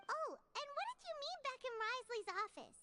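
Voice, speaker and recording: A woman's voice: extremely high pitch, animated, a little fast, full character voice. A woman voicing a tiny, childlike, cheerful fairy companion in a video game, exclamatory and eager. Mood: curious. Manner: refers to self in third person, exclamatory. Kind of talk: animated video game voice acting. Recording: loud music behind the voice.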